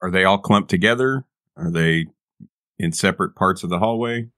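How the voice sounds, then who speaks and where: A man's voice: sits low, normal speed, fairly bright tone. A man chatting casually as a tabletop game player, with a dry, teasing way of talking.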